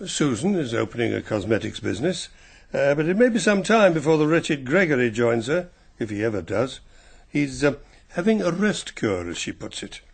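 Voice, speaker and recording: An actor playing a genial, gossipy English gentleman in a radio drama, speaking in a dry, conversational way. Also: mid-range voice, very animated, moderate pace, vocal fry, slightly rough, full character voice. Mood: dry, chatty.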